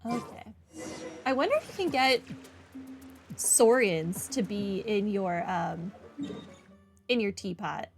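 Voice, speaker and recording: A woman's voice: mid-range voice, animated, slow, long pauses. A woman hosting a gaming playthrough, chatting casually with wry asides and reading prompts aloud. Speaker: gaming video host. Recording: game music behind the voice. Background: video game music.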